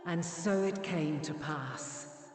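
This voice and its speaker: A woman's voice: fairly low pitch, slow. A woman voicing an ancient, motherly goddess in a fantasy game, speaking in stately, archaic phrases. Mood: grave, reverent. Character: ancient benevolent goddess, solemn and motherly, weary. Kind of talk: dramatic fantasy game acting. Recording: reverberant hall, music underneath.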